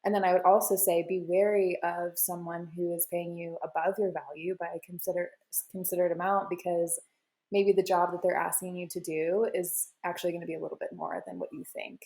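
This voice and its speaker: A woman's voice: lowish voice, flat delivery, fairly quick, creaky, a little mellow, poor audio. A woman answering interview questions in a casual, confident way, full of "you know" fillers. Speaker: guest, crypto media founder.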